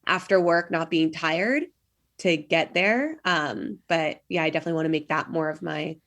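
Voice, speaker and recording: A woman's voice: low-pitched, moderate pace, a bit bright. A young woman, chatting casually as a podcast co-host. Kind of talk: casual chat.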